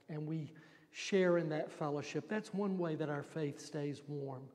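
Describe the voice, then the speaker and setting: An older man, a pastor, giving a gentle, folksy children's sermon from the front of a church sanctuary on a handheld mic. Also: high voice. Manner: gentle, folksy storytelling with homely examples. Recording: long church reverb.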